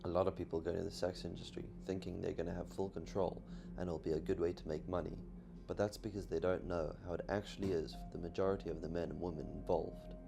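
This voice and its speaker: Male narrator, low and gravelly, measured scripted read, music bed. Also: flat delivery. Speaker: documentary narrator. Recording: music behind the voice.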